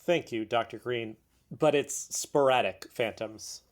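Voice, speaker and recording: A man's voice: mid-range voice, a little slow, lightly acted. An actor playing an earnest, brooding amateur investigator who narrates his own conspiracy-minded story in an audio drama. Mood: polite but doubtful. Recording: faint background noise.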